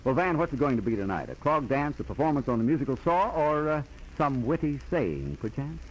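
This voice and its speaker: A man's voice: medium pitch, very animated, fairly quick, lo-fi sound. A man hosting as a 1940s radio announcer, with mock-grand scripted banter, on a noisy old recording.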